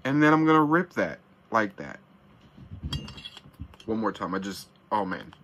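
A man's voice: lowish voice, very animated, measured pace, fairly bright tone, muffled recording, slight background noise. A man in his thirties giving a casual, profane, hyped product review in slang, seated in a small camper van and talking into a camera close by. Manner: hyped, slangy, swears a lot.

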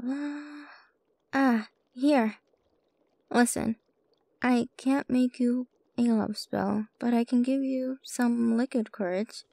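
A woman playing a sly, playful witch shopkeeper with a soft heart in an ASMR roleplay, coy and teasing as she addresses the listener. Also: a little high, vocal fry, dry recording. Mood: helpful, earnest. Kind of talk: ASMR roleplay, scripted character monologue.

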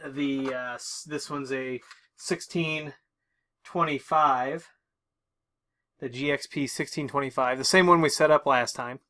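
A man's voice: mid-range voice, fairly even intonation, a little slow, bright, forceful tone. A middle-aged man giving an informal tech walkthrough, talking through each step as he goes. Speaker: tech tutorial host. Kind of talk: casual instructional walkthrough. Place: small room with tech equipment, indoors.

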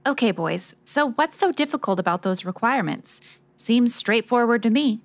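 A woman's voice: fairly high pitch, lively intonation, normal speed. A woman playing a plucky, skeptical investigative reporter in a scripted noir radio drama, wry and questioning.

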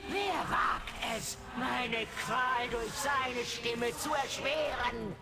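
An actress playing a tormented, imperious undead noblewoman in a video game, with theatrical, drawn-out delivery. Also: on the high side, gravelly, loud music behind the voice, full character voice. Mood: angry agony. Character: tormented, imperious undead margravine, huge spectral ruler. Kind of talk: video game character acting.

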